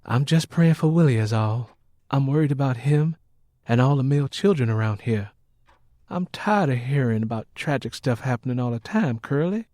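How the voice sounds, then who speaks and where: A man's voice: mid-range voice, animated, moderate pace, no room sound, studio quality, natural voice. A man voicing a devout, steadfast Southern mother, speaking plainly and earnestly in an audiobook. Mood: worried. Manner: plain, earnest, gently reproving. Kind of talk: audiobook character acting.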